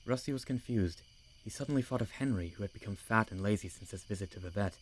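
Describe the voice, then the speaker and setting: A man's voice: on the low side, quick pace, a little hiss or hum, low-quality recording. A man reading scripted story narration as the narrator of an audiobook.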